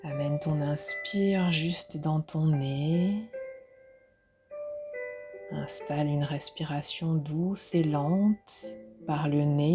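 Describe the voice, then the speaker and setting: A woman instructor leading a guided relaxation meditation in a soft, soothing, unhurried way with pauses, with music behind her. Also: low-pitched. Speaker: yoga nidra instructor.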